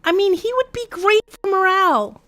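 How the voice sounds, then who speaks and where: A woman's voice: very high-pitched, animated, normal speed, a bit bright, no echo. A woman giving casual, playful live commentary as a game streamer, with wry asides.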